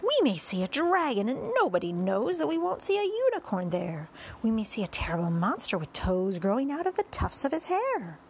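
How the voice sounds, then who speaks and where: Woman playing an excitable, high-pitched boastful male centipede. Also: normal speed, a little hiss or hum. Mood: gleeful, reckless. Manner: shouting, exuberant, singsong verse. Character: excitable, boisterous, boastful male centipede reciting gleeful verse.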